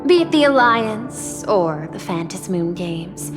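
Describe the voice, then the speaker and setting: A woman playing a refined, calculating machine leader in a video game, speaking formally and analytically with calm courtesy. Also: medium pitch. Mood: composed, courteous. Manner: measured, formal, analytical wording. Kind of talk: scripted game voice acting. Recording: long reverb, background music.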